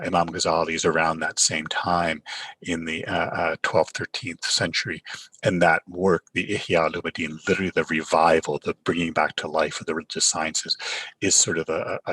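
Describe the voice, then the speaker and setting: A middle-aged man from Pakistan who grew up in Canada, with Urdu as his first language, giving a Friday sermon in a measured way, often pausing on "uh". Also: deep voice, a bit bright, breathy edge, dry recording, rough recording. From Pakistan, raised in Canada. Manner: measured, with frequent filler uhs and restarts. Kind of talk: sermon with Quran recitation.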